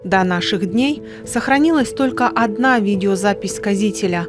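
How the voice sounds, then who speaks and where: A woman delivers scripted documentary narration at a middle pitch. Music plays clearly behind her voice. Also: normal speed.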